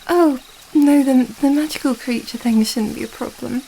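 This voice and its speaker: A woman playing an earnest, affectionate, slightly flustered young princess in an intimate ASMR romance roleplay, speaking softly and hesitantly, rambling gently. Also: high-pitched, normal speed, a little hiss or hum, low-quality recording. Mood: reassuring, thoughtful.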